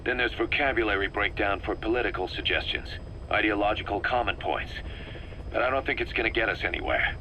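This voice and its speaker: A man playing an analytical, wary military second-in-command in a video game, explaining things in a measured, methodical way. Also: on the low side, pushed and bright, slight background noise, poor audio. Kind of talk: scripted video game cutscene dialogue.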